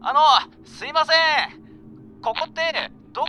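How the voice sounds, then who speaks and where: A man playing a carefree, rough-spoken young traveller in an amateur radio drama, talking in a casual, blunt way. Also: very high voice, normal speed, music in the background. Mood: casual, searching.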